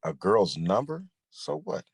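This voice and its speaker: Man playing low, husky, wisecracking sidekick. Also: very animated, a little slow, heavily acted. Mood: teasing. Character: cocky, wisecracking street-smart male sidekick. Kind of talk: table read of a screenplay.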